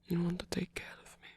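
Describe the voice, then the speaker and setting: A performer voicing a flirtatious but flustered, tender male rock frontman in an intimate ASMR romance roleplay, speaking in a hesitant, halting, husky whisper. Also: warm tone, no room sound, lightly acted. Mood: teasing, touched.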